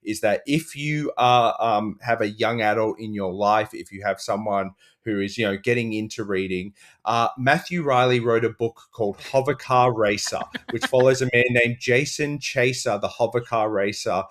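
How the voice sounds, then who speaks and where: A man's voice: medium pitch, normal speed, fairly bright tone. An Australian man in casual comedic chat as a podcast guest, riffing in a dry, rambling way.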